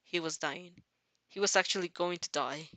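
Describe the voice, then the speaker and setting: A woman reading a dramatic fan fiction story aloud as narrator, halting now and then over the text. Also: on the low side, sharp tone, no echo. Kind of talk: dramatic audio story narration in second person.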